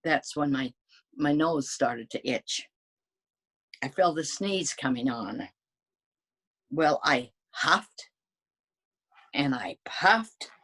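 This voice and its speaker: A woman's voice: sits low, lively intonation, extremely slow, long pauses, fairly bright tone, breathy edge, heavily acted. A woman voicing a sly, folksy storybook wolf who protests his innocence in a conversational way. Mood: mock-innocent. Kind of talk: dramatic children's storybook reading.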